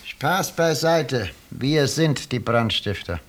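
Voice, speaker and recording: An actor playing a courteous, menacing arsonist in a 1950s radio play, deadpan and insistent. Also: male voice, medium pitch, slow, slight background noise. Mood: deadpan menace. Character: coolly polite, menacing male arsonist guest.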